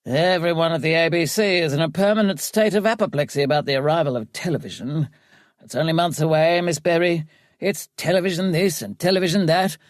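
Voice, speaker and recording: A woman's voice: deep voice, brisk, dry recording, clean studio sound, natural voice. A woman voicing a pompous, pontificating older male radio boss in an audiobook. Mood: pompous, indignant. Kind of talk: audiobook character voice.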